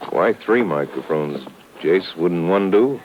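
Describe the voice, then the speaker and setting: A man's voice: low voice, animated, slow pace. An actor playing a loyal, plain-spoken lawman's helper with a folksy, inquiring manner in a 1950s radio crime drama. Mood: watchful. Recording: muffled vintage radio recording, faint noise.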